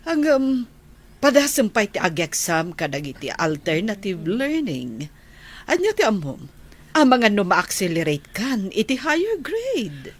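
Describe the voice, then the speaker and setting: A woman's voice: mid-range voice, huge pitch swings, unhurried. A woman with an Ilocano accent acting a kindly, encouraging school principal in a radio drama.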